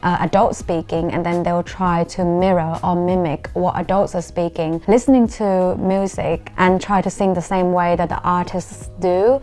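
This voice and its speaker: A young woman with a Thai accent talks straight to camera, her voice sitting on the low side. English is not her first language, and she explains things in an earnest, self-deprecating way. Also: steady tone, normal speed, few pauses, darkish tone, soft background music. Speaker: YouTuber. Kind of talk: talking to camera.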